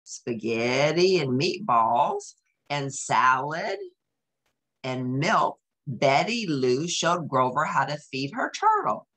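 A woman reading a storybook aloud to young children, expressive and explanatory, adding casual comments and exclamations. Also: low voice, slow pace. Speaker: storybook reader.

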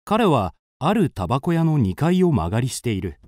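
An actor playing an earnest young amateur sleuth in a radio drama, narrating and reasoning in a deliberate, dramatic, polite way. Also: male voice, mid-range voice, big pitch swings, a little mellow, no room sound, studio quality. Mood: tense narration. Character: earnest young male amateur sleuth, confidently accusing his friend. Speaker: narrator and lead character.

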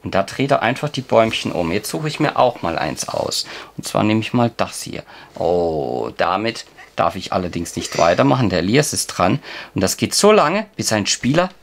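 A man's voice: lowish voice, very animated, normal speed. A man, casually explaining a board game in an encouraging, playful way, like a dad hosting. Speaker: host of a family board game channel, father. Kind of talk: casual explanatory game play-through with a child. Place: indoors at a table at home. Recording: clearly noisy room, clicking game pieces.

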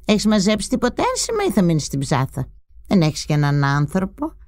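An actress voicing an older pensioner mother, bossy and sharp-tongued, who grumbles, scolds and fusses over her grown son in a dramatized memoir. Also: fairly low pitch, sing-song, dry recording, clean studio sound. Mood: prying. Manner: scolding, chatty, complaining, with sudden outbursts.

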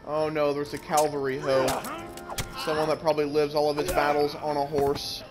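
A man's voice: fairly high pitch, completely flat delivery, normal speed. A man giving casual commentary as he plays a video game, talking as the host. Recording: game music clearly behind voice. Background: video game music.

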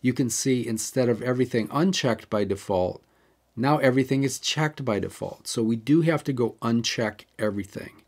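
A man's voice: medium pitch, normal speed, slightly rough. A man hosting a camera tutorial, talking casually and walking through settings step by step with the odd digression. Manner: chatty, digresses, explains step by step. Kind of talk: casual how-to walkthrough.

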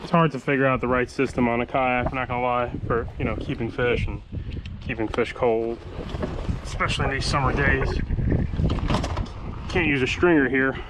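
A relaxed man in his thirties chats his way through a fishing trip from a kayak, his voice at a mid pitch. He was recorded outdoors on a boat-mounted action camera. Clear wind and water noise sit behind him the whole time. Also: moderate pace, pauses often. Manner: laid-back, chatty, excited exclamations over catches. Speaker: fishing vlogger. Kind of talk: casual running commentary while fishing. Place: kayak on open water under a pier, outdoors. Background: water and wind ambience.

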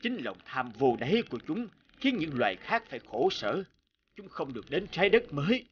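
A man's voice: a little high, sing-song, fairly quick, dull audio, a little hiss or hum. An actor voicing a solemn, fatherly old god in a cartoon, grave and grandfatherly in manner. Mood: grave, displeased. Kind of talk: cartoon dub acting. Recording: loud music behind the voice.

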